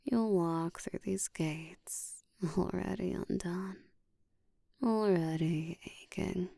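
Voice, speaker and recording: Actress as commanding female vampire for roleplay audio: very slow, breathy whisper, dark low tone, teasing control. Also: dry recording, clean studio sound, full character voice.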